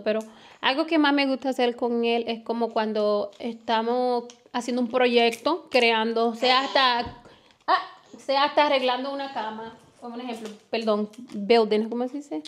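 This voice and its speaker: A young woman from the Republic, chatting casually with her partner and answering viewer questions in quick, giggly run-on strings. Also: a little high, fairly even intonation. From Dominican Republic. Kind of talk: casual chat.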